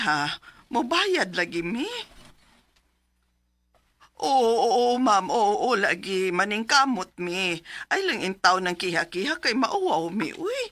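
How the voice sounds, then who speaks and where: A woman's voice: mid-range voice, fairly bright tone, no room sound, full character voice. A woman acting a middle-aged, anxious and pleading mother in a radio drama. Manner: pleading, worried.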